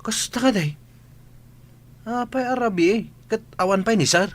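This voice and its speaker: A man's voice: high-pitched, moderate pace, close to an everyday voice. A man playing a deferential but grumbling household servant in a radio comedy, hesitant and exclamatory, with an Ilocano accent. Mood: casual, curious. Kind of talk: radio comedy drama acting.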